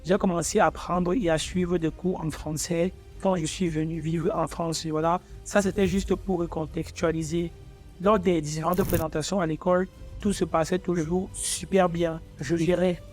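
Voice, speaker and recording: Higher-voiced young man vlogging over music. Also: little pitch movement, moderate pace, darkish tone. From Africa. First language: English. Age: 20s. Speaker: architecture student presenting his own channel. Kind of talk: personal vlog, talking to viewers.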